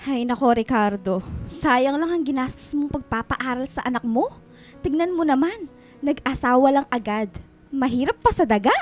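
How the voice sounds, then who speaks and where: A woman's voice: sits high, expressive, normal speed, darkish tone. A snobbish, insulting middle-aged stepmother-in-law in a Filipino radio drama. She sighs with contempt over light background music. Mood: contemptuous. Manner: sighing, contemptuous. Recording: music bed behind voice.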